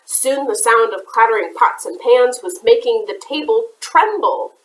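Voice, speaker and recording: A woman's voice: a little high, animated, unhurried. A woman children's librarian reading a picture book aloud to kids, with chatty asides. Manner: chatty asides to young listeners. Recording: roomy echoey room.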